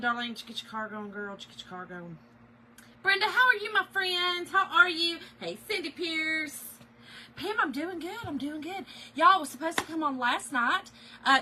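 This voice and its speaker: A middle-aged woman, a little hoarse, hosting a casual craft livestream and greeting viewers by name. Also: on the high side, bright, forceful tone, slight background noise. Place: home office.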